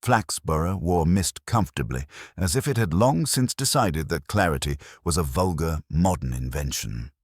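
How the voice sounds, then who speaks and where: A man's voice: rumbling low, expressive, moderate pace, few pauses, no room sound. A man narrating a scripted mystery story in a dry, literary manner.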